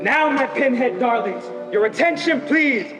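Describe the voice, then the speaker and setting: A man acting as a cruel, domineering freak-show handler with a very high voice. The delivery is theatrical, mocking and commanding. It echoes in a hall with faint music. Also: expressive, a little slow. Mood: grandly mocking. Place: high school theater stage.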